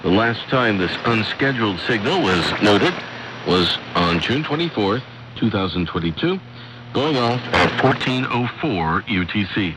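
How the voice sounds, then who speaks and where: A man's voice: fairly low pitch, animated, measured pace. A man hosting a radio program, reading a scripted report over a shortwave broadcast. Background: radio static and noise.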